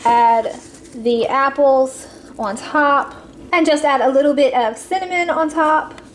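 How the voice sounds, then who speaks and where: Woman, cooking host, fairly high voice, casual step-by-step explaining, faint noise behind. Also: slow. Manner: friendly step-by-step instructions with fillers. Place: home kitchen.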